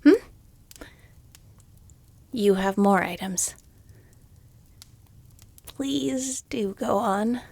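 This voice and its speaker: A woman's voice: mid-range voice, very slow, creaky, pauses often, a little mellow, no room sound, exaggerated voice. A woman playing a weary, exasperated shopkeeper in a fantasy audio roleplay, reluctantly polite, with dry, muttered sardonic asides. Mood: flustered, incredulous.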